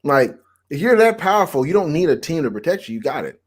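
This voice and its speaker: A man's voice: fairly high pitch, very animated, fast, husky. A man co-hosting a casual chat, making wry asides and often repeating his phrases. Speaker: co-host of a comic book discussion stream.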